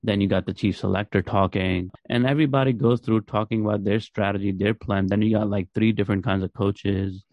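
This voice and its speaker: A man with a low, gravelly voice talks casually as a sports podcast co-host. He explains his points conversationally, often posing questions. The audio is dry and somewhat dull. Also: steady tone, a little fast, few pauses, dry recording. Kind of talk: casual cricket discussion.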